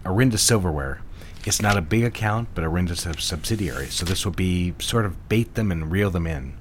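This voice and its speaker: Man playing a low-voiced, patronizing office boss. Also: animated, a little fast, a little hiss or hum. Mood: cool, businesslike. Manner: assured, teasing, condescending. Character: smooth, patronizing male ad executive boss. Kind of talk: period office audio drama acting.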